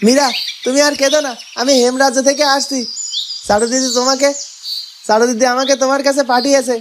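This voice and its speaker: Woman as a high, quick-talking cartoon village lad. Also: fairly even intonation, close to an everyday voice. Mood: reassuring. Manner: reassuring, earnest. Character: earnest young male villager, loyal and comforting. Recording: birdsong and noisy ambience behind.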